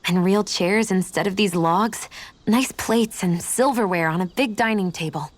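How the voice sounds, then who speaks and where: An actress voices a young woman adventurer in a fantasy game. She is composed and quietly affectionate, with a gentle teasing streak. The voice is medium in pitch and very dry. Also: normal speed, no echo, lightly acted. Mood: warm, wistful. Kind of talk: video game cutscene acting.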